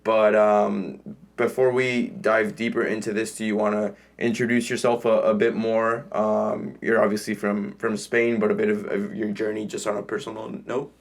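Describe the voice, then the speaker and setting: A man hosting a podcast interview, asking quick follow-up questions in an eager, upbeat way. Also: lowish voice, little pitch movement, creaky. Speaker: podcast host. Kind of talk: casual interview.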